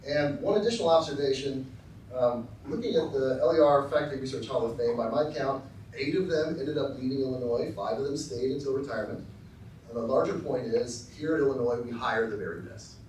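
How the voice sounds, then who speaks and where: A man's voice: medium pitch, brisk, darkish tone, recorded from a distance, a little hiss or hum. Man giving a speech in an echoey hall. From Texas, US. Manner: dry, self-deprecating, with frequent ums and uhs. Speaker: professor giving an acceptance speech. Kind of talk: formal speech with light humor. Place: large lecture hall.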